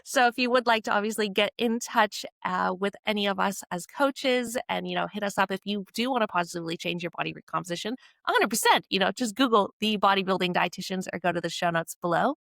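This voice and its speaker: Upbeat Canadian woman, 20s, podcast host, mid pitch, gravelly, dry studio sound. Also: quick pace, few pauses, fairly bright tone, dry recording. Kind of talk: casual podcast chat.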